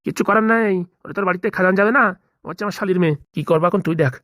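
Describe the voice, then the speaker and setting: A woman's voice: fairly low pitch, very fast, fairly bright tone, dry recording, studio quality, lightly acted. A woman voicing an anxious, crooked male village politician in a comedy cartoon, complaining. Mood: worried. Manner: fretful, complaining.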